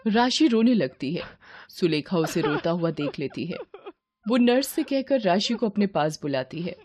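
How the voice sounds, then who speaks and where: A woman's voice: lowish voice, lively intonation, normal speed, darkish tone, no room sound. Woman narrating a moral story.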